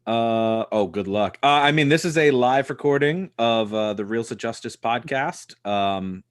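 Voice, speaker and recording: A man's voice: medium pitch, unhurried, clear, resonant tone. A man hosting a podcast, joking dryly over a video call. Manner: dry, joking. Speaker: podcast host. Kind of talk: casual chat.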